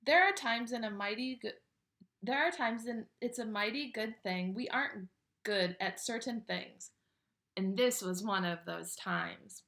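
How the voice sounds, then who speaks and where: A woman reading a children's picture book aloud with expressive, dramatic storytelling delivery. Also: a little high, a little slow.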